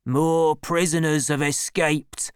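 A big, sulky young workman who is always grumbling, as a storybook reader puts him on. He speaks in a raised, slow, complaining way, and the recording is dry. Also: mid-range voice, fairly even intonation, clean tone, dry recording, pitched above the performer's own voice, natural voice. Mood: surly. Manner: surly and complaining.

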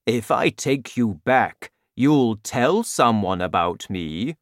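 A man voicing a huge, gentle giant in a storybook reading, speaking slowly and loudly. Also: expressive, no room sound, clean studio sound. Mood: booming. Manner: slow, booming, deliberate.

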